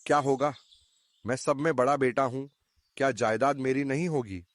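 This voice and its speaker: A man's voice: medium pitch, steady tone, normal speed, no room sound, natural voice. A man playing an idle, entitled grown son in a cartoon moral story, self-assured and demanding. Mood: dismissive.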